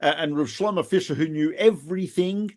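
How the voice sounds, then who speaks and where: A middle-aged man who grew up in Australia, co-hosting a podcast and telling lively anecdotes in a casual conversation. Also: fairly high pitch, fairly quick. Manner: animated storyteller, laughs at his own anecdotes. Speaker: podcast co-host, rabbi.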